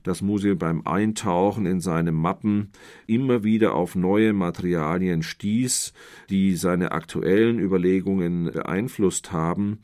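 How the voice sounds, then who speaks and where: A man giving explanatory literary commentary as an expert, in a measured, scholarly manner and long sentences. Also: low voice, a little mellow.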